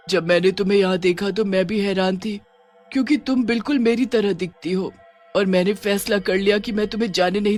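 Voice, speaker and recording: A woman acts out a possessive, menacing young ghost witch from a cartoon horror tale. She draws her words out slowly and tauntingly. Music plays underneath. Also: mid-range voice, a little mellow, lightly acted. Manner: slow, drawn-out, taunting with gloating laughs. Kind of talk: Hindi cartoon horror story acting.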